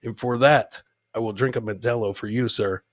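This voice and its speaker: A man's voice: medium pitch, steady tone, moderate pace, no room sound. A middle-aged man hosting a comedy podcast, chatting casually and swearing, repeating phrases for emphasis and playing to his audience like a showman. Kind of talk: casual live-stream comedy chat.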